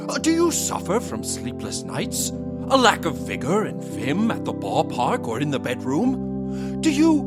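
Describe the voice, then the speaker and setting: An actor playing a pompous pulp author pitching self-help in a parody vintage radio advert. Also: male voice. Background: loud plucked guitar music.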